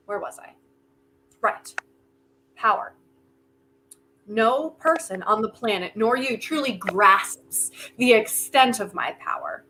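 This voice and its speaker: A woman's voice: sits high, pushed and bright, exaggerated voice, rough recording. A woman in a staged play reading, playing an arrogant, defiant inventor with a sardonic, theatrical manner. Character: arrogant, brilliant, defiant inventor bargaining with Death.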